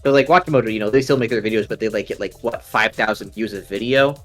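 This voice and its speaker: A man's voice: fairly low pitch, fast, a bit bright, soft background music. A young man with a nasal voice, chatting casually on a live stream, rambling with many ums and restarts. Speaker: stream co-host.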